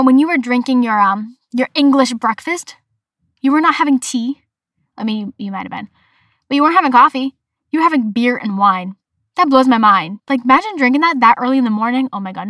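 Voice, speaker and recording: A young woman from Massachusetts with a Boston accent talks through her solo podcast in a chatty, animated way, breaking into excited asides. Her voice sits a little high, and the recording is dry and close. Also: no echo. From Massachusetts, USA. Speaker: podcast host.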